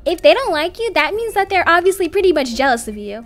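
A woman voicing a cocky but earnest popular schoolboy, slangy and insistent, in an animated roleplay story. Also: very high voice, fast, faint music behind.

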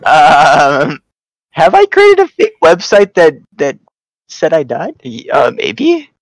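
Slow, halting young man chatting. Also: a little high, wildly swooping pitch, dry recording, low-quality recording. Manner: hesitant, halting, short replies. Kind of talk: casual chat.

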